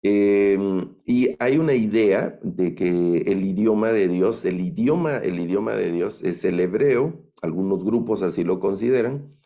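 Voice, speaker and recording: A middle-aged man with a Guatemalan accent giving an online lecture on biblical languages, thoughtful and halting, with many fillers and restarts. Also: fairly low pitch, lo-fi sound. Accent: Guatemalan Spanish. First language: Spanish. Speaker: Bible language instructor.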